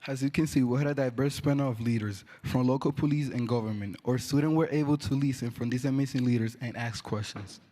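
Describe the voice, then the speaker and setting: A man's voice: medium pitch, fast, hardly any pauses, dark tone. A teenage boy presenting a school project and making a plain, earnest appeal. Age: teens. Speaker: student presenter. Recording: long hall reverb.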